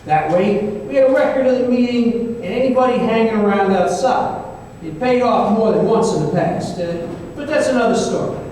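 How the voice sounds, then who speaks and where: A man's voice: high voice, normal speed, a little mellow, lightly acted. A man playing a wry, world-weary private eye, telling his case in old-time radio style with a folksy, hesitant delivery. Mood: wry, narrating. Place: live stage performance in an echoey room. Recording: echoey hall, distant mic, faint noise.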